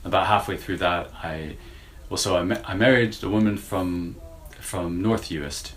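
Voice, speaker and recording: A man in his forties from the United States with a slightly Scottish-tinged American accent, answering interview questions thoughtfully and with many pauses. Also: low voice. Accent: American with Scottish influence. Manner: thoughtful, hesitant with many fillers. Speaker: university lecturer.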